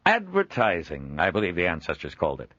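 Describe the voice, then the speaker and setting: A man doing a booming jolly trader. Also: huge pitch swings, fairly quick, fairly bright tone, no room sound, muffled recording, full character voice. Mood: jovial. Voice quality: hearty, booming, laughs often. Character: jovial middle-aged male traveling trader.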